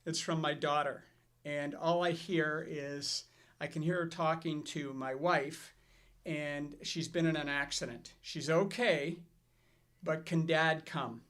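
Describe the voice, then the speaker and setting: A man's voice: a little high, takes it slowly. Middle-aged man hosting a finance chat. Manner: relaxed storytelling with fillers and restarts. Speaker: financial advisor and show host. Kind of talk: casual scripted chat.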